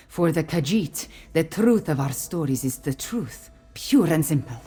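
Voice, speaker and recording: Low, mellow, regal cat-folk queen. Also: lively intonation, husky, full character voice. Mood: measured, reflective. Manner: measured, formal. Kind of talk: scripted video game dialogue.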